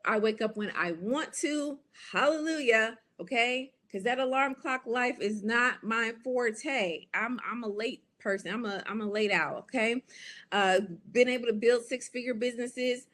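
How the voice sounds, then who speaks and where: Chatty American woman coach, bright and lively. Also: on the high side, unhurried. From United States. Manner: lively and chatty, with frequent "okay?" and "y'all" asides. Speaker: business coach. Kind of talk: casual live presentation.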